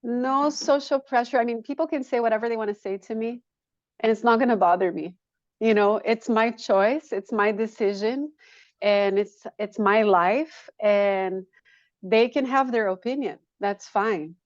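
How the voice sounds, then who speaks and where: A woman's voice: fairly high pitch, unhurried, no room sound, lo-fi sound. A woman from Brazil answering casually as an interview guest, matter-of-fact and repeating phrases for emphasis. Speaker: guest, yoga studio owner.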